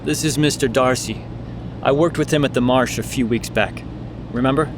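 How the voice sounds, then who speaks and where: A man's voice: mid-range voice, normal speed, close to an everyday voice. Actor as a hesitant young man, noisy background. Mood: hesitant, casual. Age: young adult. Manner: casual, hesitant. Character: low-key, hesitant young male band member. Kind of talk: audio drama acting.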